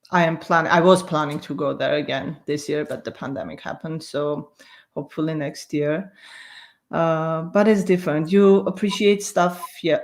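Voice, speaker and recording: A woman's voice: on the low side, darkish tone. A middle-aged woman from Armenia with an Armenian accent, speaking reflectively in an interview and often searching for words.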